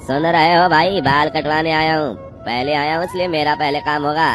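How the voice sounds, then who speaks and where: A man's voice: a little high, steady tone, normal speed, pitched above the performer's own voice, natural voice. A man playing an impatient male customer in a cartoon village story. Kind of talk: cartoon story character acting. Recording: music behind the voice.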